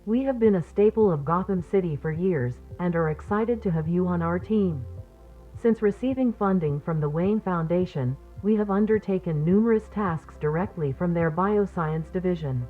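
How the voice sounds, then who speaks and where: A woman playing a polite orientation video narrator, formal and measured, in scripted instructional delivery. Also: lowish voice, natural voice. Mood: composed, instructional. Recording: muffled lo-fi training tape. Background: background music.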